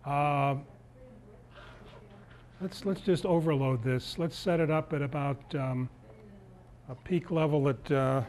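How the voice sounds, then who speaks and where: Older American man, slightly high-pitched voice. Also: a little mellow. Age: 60s. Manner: halting, thinking aloud while demonstrating. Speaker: presenter at a club meeting. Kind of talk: informal demonstration talk. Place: meeting room, indoors.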